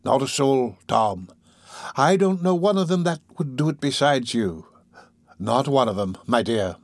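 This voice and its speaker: A man's voice: mid-range voice, lively intonation, a little slow, dry recording, clean studio sound. A man voicing a sly, placating old criminal in a storybook reading, soothing and hesitant. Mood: wheedling.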